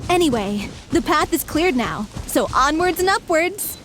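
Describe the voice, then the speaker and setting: A woman's voice: high-pitched, big pitch swings, measured pace, noisy background. An actress playing a playful, confident young woman of a theater troupe who teases her friends, in a video game. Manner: teasing, upbeat.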